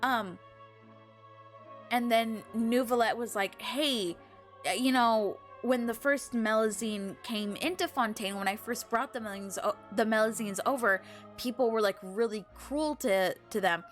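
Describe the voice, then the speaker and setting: A woman talks to her live stream in a fairly high voice. She is gushing and emotional, feeling her way haltingly through her sentences. Soft music plays behind her. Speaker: livestreamer. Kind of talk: casual live game stream chat, recapping to viewers.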